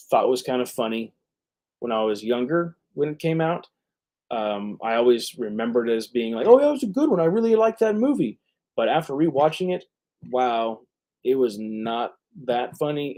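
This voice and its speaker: A man hosting a live stream, chatting casually and rambling, often halting as he searches for words. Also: mid-range voice, mellow. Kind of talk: casual rambling movie chat.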